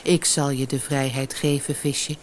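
A woman voicing a humble, contented old fisherman in a storybook, soft-spoken, mild and resigned. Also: very deep voice, flat delivery, a little slow. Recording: noisy old cassette audiobook.

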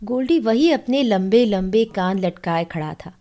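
A woman's voice: medium pitch, animated, measured pace, dark tone. A woman narrating a literary short story in the first person, audiobook style.

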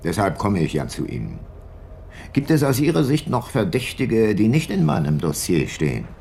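Older private investigator, deep voice, lively intonation, dry questioning, radio play. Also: male voice, moderate pace, gravelly, slight background noise, exaggerated voice. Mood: probing. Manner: questioning, wry. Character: older, dryly probing male private investigator.

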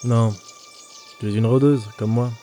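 A man acting as a gruff but helpful fantasy ranger, reserved and calm, who explains things like a seasoned guide. His voice sits at a medium pitch with music clearly playing behind it. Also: unhurried, lightly acted. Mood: calm, explanatory. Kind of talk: audio drama dialogue.

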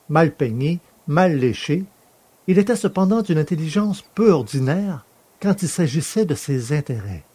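Higher-voiced man reading a script. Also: dark tone, breathy edge. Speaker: podcast host and audiobook reader. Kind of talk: scripted narration.

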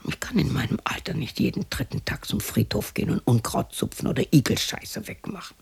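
A woman's voice: rumbling low, big pitch swings, a little fast, gravelly, breathy edge, heavily acted. An actress playing a lonely widow in her sixties who mutters to herself in a radio drama. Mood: bitter. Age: 60s. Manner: muttering to herself, shifting between musing and scolding. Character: lonely sixty-year-old widow talking to herself and imagined family.

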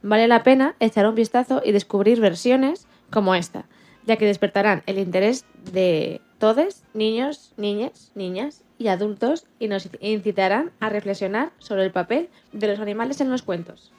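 A woman's voice: mid-range voice, moderate pace, darkish tone. A woman with a Spain Spanish accent co-hosting a radio show, presenting a semi-scripted segment.

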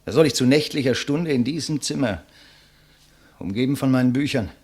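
An actor playing a proud, scornful condemned intellectual in a 1950s German radio play, declamatory and contemptuous. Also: male voice, medium pitch, fairly quick, a little mellow, husky. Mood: defiant, indignant. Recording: vintage 1959 radio recording.

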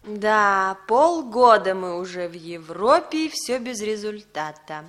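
A woman's voice: mid-range voice, expressive, slow pace, a bit bright. An actress playing a cool, calculating female secret agent in a 1960s Soviet radio play, speaking in an articulate, self-assured, lecturing way. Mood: businesslike, confident.

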